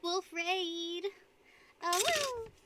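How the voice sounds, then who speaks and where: A woman's voice: very high-pitched, lively intonation, drawn-out words, heavy background noise. A young woman streaming live, chatting casually with her viewers and rambling between frequent giggles. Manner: giggly, rambling, reads chat aloud.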